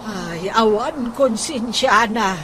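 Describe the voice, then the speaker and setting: A melodramatic older housemaid from a comic radio drama. Her voice sits fairly high and she takes her words slowly, full of fretful exclamation. Clear noise runs through the recording. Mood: gossipy, disapproving. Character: excitable older household maid fretting over unpaid wages. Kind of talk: comedic radio drama acting.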